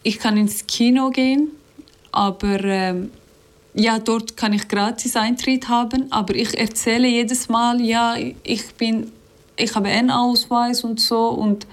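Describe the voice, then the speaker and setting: Interviewee: non-native woman, slightly high voice, slow and halting, frequent fillers. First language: not German. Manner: hesitant, many fillers and restarts.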